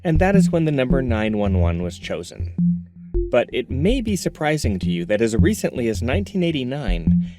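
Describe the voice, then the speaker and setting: A man's voice: mid-range voice, very animated, normal speed. An American man reading scripted, documentary-style narration for a crime audio drama. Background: music.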